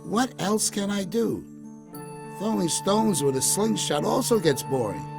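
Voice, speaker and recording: Man playing an excitable, whiny young shepherd boy. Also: high-pitched, measured pace, creaky, over music, exaggerated voice. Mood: bored, whining. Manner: whiny, exclaiming, exaggerated. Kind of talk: children's storybook character voice.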